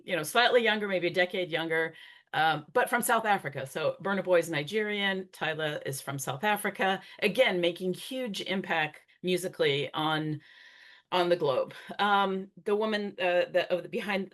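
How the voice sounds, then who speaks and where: A woman's voice: lowish voice, a bit bright. A middle-aged woman presenting a talk in a conversational way, with frequent fillers and restarts. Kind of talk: conference talk.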